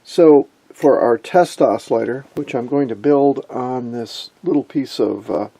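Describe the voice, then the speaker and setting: A middle-aged man giving an informal hands-on tutorial in an unhurried, folksy manner. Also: medium pitch, vocal fry, dark tone, no room sound. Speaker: electronics hobbyist presenter. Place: indoor electronics workbench.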